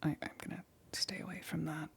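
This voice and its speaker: A woman performs an awkward, shaken female scientist for an ASMR audio drama. Her voice is extremely breathy and whispery, sitting low with little melody. She speaks haltingly, stammering and hesitating while trying to sound reassuring. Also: level tone, heavily acted. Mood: uneasy, clinical. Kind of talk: ASMR roleplay, whispered acting.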